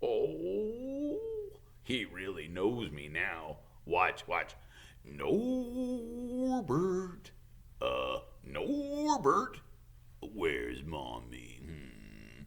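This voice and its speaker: A man voicing a big, soft-hearted gamekeeper in a storybook reading, halting and drawn-out, doting and tearful. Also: sits low, wildly swooping pitch, vocal fry, exaggerated voice. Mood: misty, cooing. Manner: halting, drawn-out, sentimental crooning.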